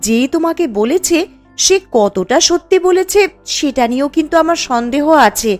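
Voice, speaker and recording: A caring young village wife in a cartoon tale. Her voice sits high and she talks in an earnest, chatty, sensible way. Music plays behind her. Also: lively intonation, moderate pace. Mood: doubtful, reasoning. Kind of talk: cartoon story dialogue. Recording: music under the voice.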